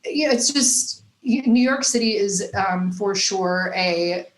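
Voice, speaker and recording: A woman's voice: mid-range voice, takes it slowly. Chatty Southern-tinged woman, roomy echo. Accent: faint Southern US. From Nashville, Tennessee. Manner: rambling, chatty, leans on "you know" and "like". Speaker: guest comedian. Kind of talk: casual interview chat.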